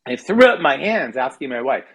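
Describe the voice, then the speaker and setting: A man's voice: mid-range voice, sing-song, a little fast, a bit bright, husky. An American man reading his humorous personal essay aloud in a wry, mock-dramatic manner. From California, United States. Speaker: writer reading own comic essay. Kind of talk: humorous storytelling read aloud.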